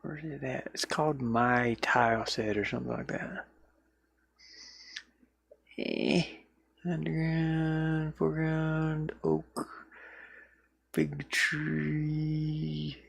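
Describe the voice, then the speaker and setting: A man's voice: on the high side, little pitch movement, very slow, vocal fry, pauses often, slightly rough, no room sound. A man in casual solo livestream chat, rambling and muttering as he thinks aloud while working, recorded inside a van. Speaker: livestreamer and indie game developer.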